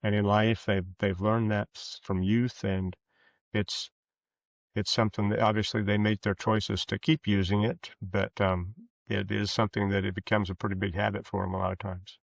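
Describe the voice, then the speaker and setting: Male podcast guest, deeper register, earnest and halting, reflective delivery, dull roomy recording. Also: little pitch movement, vocal fry. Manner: halting, earnest, rephrases as he goes. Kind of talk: reflective interview conversation. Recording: muffled, roomy, echoey sound.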